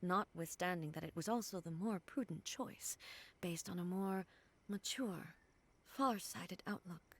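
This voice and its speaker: A woman voicing a dignified female ruler in a video game, speaking in a measured, formal and reflective way. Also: on the low side, a little hiss or hum, lightly acted. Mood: solemn. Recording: video game audio with faint music.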